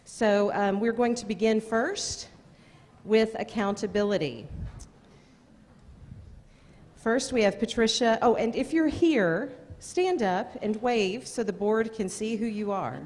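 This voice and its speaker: Mellow American woman in an echoey hall. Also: a little high, slow pace. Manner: reads out names, with informal asides. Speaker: school district presenter. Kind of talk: announcing award nominees at a public meeting. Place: indoor meeting room at a podium. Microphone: gooseneck podium microphone. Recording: echoey meeting hall, long reverb.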